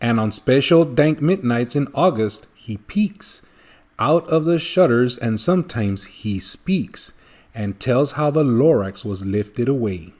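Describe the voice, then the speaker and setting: Male storybook reader, medium pitch, very lively intonation, expressive rhymed narration. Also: slow pace.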